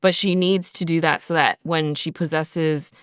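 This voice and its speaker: A woman's voice: low-pitched, steady tone, moderate pace, smooth, dry recording, clean studio sound. A woman co-hosting a podcast, explaining things in a casual, conversational way and often saying "like". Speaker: audio drama writer, podcast co-host.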